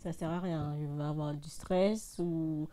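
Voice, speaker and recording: Mellow-voiced female show host. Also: sits low, steady tone, measured pace. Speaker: interview host. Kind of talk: hosting an interview show.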